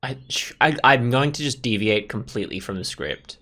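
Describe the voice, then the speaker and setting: A man's voice: medium pitch, lively intonation, moderate pace, sharp tone. A man hosting a let's play, commenting informally and thinking aloud over the choices. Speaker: gaming video host. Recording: voice-over with faint music.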